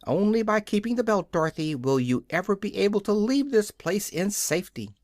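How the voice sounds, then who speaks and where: Man putting on a shrill, bossy talking hen. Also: expressive, brisk, dry recording, studio quality. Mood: alarmed, warning. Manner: shrill, emphatic scolding.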